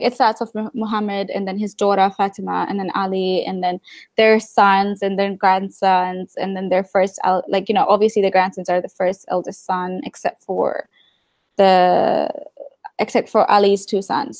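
A woman's voice: medium pitch, moderate pace, gravelly, a little mellow, no echo. Young woman, guest, video call, living room, wry, candid, dry. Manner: candid, wry, many fillers and asides. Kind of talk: casual video-call interview. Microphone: video call webcam.

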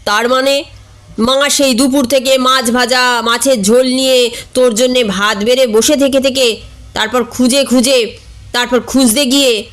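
A woman voicing a brash, brusque youth in a comic story, offhand and quick to scold. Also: sits high, steady tone. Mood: exasperated scolding.

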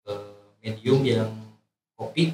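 A woman's voice: very deep voice, fairly even intonation, slow, darkish tone, roomy sound, faint music behind. A woman guest from a drinks shop, giving brief matter-of-fact answers about the menu. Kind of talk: product explanation in a chat.

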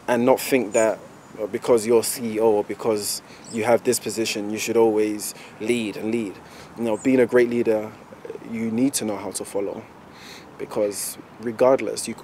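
A young man, an entrepreneur, speaks earnestly and deliberately in an outdoor interview. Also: fairly low pitch, a little mellow, a little hiss or hum. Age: young adult. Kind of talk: interview answer.

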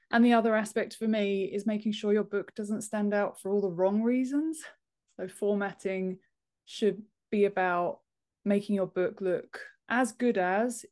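Woman, thirties, mid-pitched voice, casual explanatory interview style, emphatic, video call. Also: darkish tone. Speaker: podcast guest, author and book formatter. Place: home office room, indoors. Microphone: earphone headset on a video call.

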